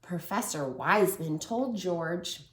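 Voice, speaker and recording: A woman teacher reading a picture book aloud to a small child, lively and encouraging. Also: on the low side, slow pace, roomy sound. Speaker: preschool teacher. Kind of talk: children's read-aloud lesson.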